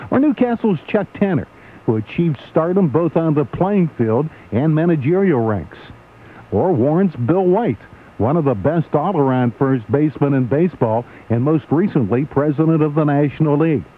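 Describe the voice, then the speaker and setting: A man reading a scripted sports commentary on the radio with measured, emphatic announcer delivery. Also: on the high side, lively intonation. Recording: tinny AM radio aircheck, faint hum.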